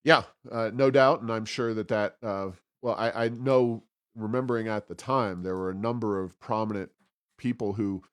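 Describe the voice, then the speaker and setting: A man hosting a podcast, giving conversational political commentary with frequent pauses mid-sentence. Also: mid-range voice, no echo, clean studio sound. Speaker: podcast host.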